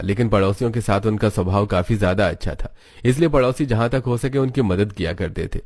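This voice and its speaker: A man's voice: fairly low pitch, a little mellow, dry recording, clean studio sound. A man reading scripted storybook narration for a cartoon.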